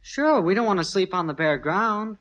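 An eager teenage son. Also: male voice, high voice, lively intonation, moderate pace, a bit bright, muffled recording. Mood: pleading. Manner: pleading, wheedling. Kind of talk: 1950s radio sitcom acting. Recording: old radio broadcast recording.

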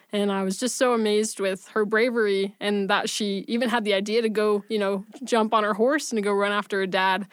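A woman's voice: mid-range voice, clean tone, dry recording. A woman, an audio engineer, chatting casually as a guest in a radio studio.

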